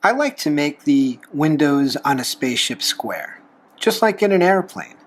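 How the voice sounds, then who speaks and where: A man hosting a drawing tutorial, explaining each step in a folksy, unhurried way with pauses. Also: a little high, sing-song. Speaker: host of a drawing tutorial show, reverend. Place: talking from behind a camera while drawing.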